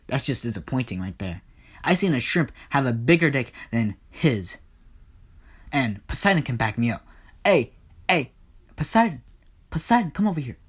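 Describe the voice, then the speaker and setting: A man plays an aggrieved god of the underworld in a comic retelling of myth. He rants in a middle-pitched male voice, full of swearing and threats. The temper is vengeful and fed up. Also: close to an everyday voice. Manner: ranting, profane, threatening. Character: furious, foul-mouthed, vengeful god Hades, aggrieved brother. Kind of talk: improvised comedic myth retelling.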